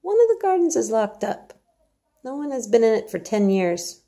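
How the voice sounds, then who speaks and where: A woman's voice: fairly high pitch, sing-song, moderate pace, dark tone, pitched above the performer's own voice. A woman reading storybook dialogue as a hearty, outspoken young housemaid who scolds in a blunt, emphatic way. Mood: confiding. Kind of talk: storybook dialogue read aloud.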